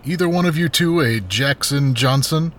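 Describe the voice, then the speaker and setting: An actor playing a brisk, indifferent delivery man in an audio drama, speaking matter-of-factly. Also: medium pitch, fairly bright tone, natural voice. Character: brisk, indifferent male envelope courier.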